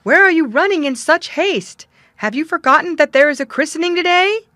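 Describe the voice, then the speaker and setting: Woman as an astonished parish clerk, high and calling. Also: animated, a little fast, a bit bright, no echo, studio quality. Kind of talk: storybook character voice.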